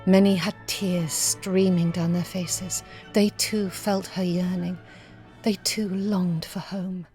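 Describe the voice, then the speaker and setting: A woman narrating a literary short story expressively, in measured, evocative phrases with hushed moments. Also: fairly low pitch, level tone, soft background music. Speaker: story reader.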